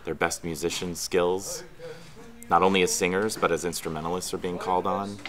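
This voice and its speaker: A man's voice: deep voice, little pitch movement, brisk, a crowd in the background. A man in his thirties, a theatre director, talking in a documentary interview. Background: music.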